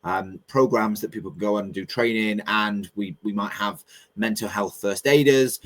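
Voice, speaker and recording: A man moderates a webinar panel over a video call and asks his guests questions. His voice sits fairly low. He stops and restarts often and fills gaps with frequent ums. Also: normal speed. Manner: hesitant, many restarts and fillers. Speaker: webinar host.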